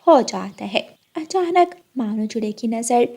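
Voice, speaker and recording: Slow, high-voiced woman narrating. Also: animated, mellow, husky. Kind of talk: scripted cartoon story narration.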